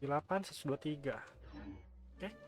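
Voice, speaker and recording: A man's voice: fairly high pitch, a little fast, darkish tone, soft background music. A man with an Indonesian accent, giving casual live commentary as a game streamer in muttered asides that mix two languages.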